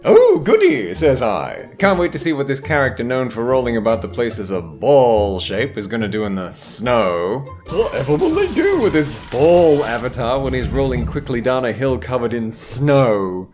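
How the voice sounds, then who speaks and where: A man's voice: medium pitch, huge pitch swings, normal speed, hardly any pauses, a little mellow. A man delivering scripted, humorous video game commentary in a theatrical, sardonic way, full of flowery rhetorical questions. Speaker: video essayist, narrator. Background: background music.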